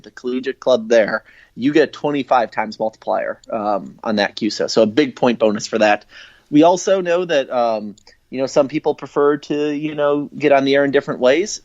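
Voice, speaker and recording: Casting: male radio guest, informal explainer, halting but keen, mid-pitched, on a muffled low-fidelity call. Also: no echo. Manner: hesitant, halting, filler-heavy delivery. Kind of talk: informal explanatory interview talk. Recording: dull, lo-fi call-in audio.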